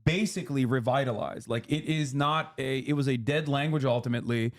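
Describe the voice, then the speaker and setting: A man in his thirties explains politics on a casual livestream. He talks in quick, lecturing bursts full of fillers and restarts. His voice sits at an ordinary middle pitch.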